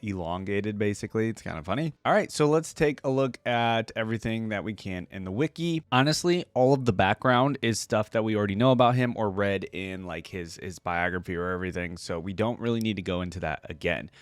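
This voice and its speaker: A man's voice: lowish voice, animated, brisk, hardly any pauses, clean tone, dry recording. A man hosting a reaction video, talking casually and sometimes reading reference text aloud.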